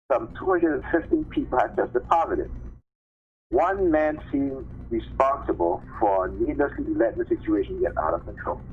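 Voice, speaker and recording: Man on a tinny, noisy phone line. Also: mid-range voice. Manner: halting reading with repeated phrases and checking tags. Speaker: live stream host reading articles. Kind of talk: reading news articles aloud with informal commentary. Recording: tinny phone-line sound with clear background noise.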